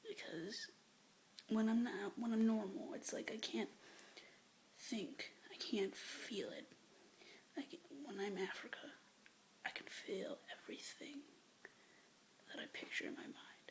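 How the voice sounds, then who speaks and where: Whispering young woman with a gravelly edge. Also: mid-range voice, measured pace, pauses often, rough recording. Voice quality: whispery, breathy. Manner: rambling, hesitant asides between poems. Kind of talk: whispered poetry reading, ASMR-like. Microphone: phone or webcam close up.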